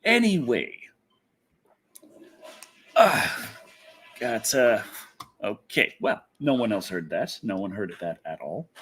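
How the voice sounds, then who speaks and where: A man's voice: lowish voice, sing-song, takes it slowly, long pauses, a bit bright, slightly rough. A man hosting a casual livestream, chatting off the cuff in a rambling way and often repeating his phrases. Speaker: internet personality, livestream host. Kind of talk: casual livestream chat, answering viewers.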